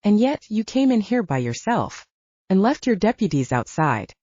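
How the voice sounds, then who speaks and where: A woman narrator performs a brazen werewolf temptress from a romance audiobook. She is sultry, taunting and defiant, with lively intonation in a dry recording. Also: medium pitch, normal speed, breathy edge, dry recording, lo-fi sound. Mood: seductive taunting.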